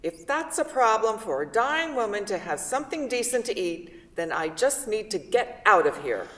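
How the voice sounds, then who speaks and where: A woman's voice: medium pitch, very animated, normal speed, lightly acted. A woman playing a stubborn, blunt, dying mother in a staged play reading. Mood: angry, defiant. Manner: blunt, demanding. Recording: distant, echoey hall, background noise.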